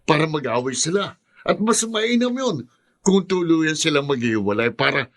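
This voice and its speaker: A sneering, cackling lover villain. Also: male voice, on the high side, expressive, normal speed, fairly bright tone. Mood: gloating. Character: mocking scheming male lover, brash villain. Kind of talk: radio drama acting.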